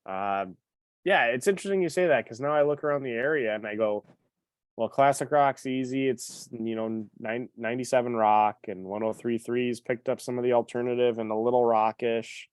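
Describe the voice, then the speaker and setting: A man muses aloud on a podcast, pausing often and hedging with fillers. The sound is dry and close, with no room echo. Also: mid-range voice, clear, resonant tone. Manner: thoughtful, hesitant, filler-heavy. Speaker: podcast panelist. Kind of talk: casual chat.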